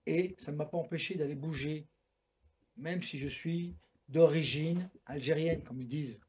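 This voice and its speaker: A man's voice: on the high side, normal speed, a little mellow, a little hiss or hum. A man in his sixties from France, a boxer and coach speaking frankly and emphatically in a talk-show conversation. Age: 60s. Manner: frank, emphatic, repeats himself for weight. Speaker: Muay Thai boxer and coach.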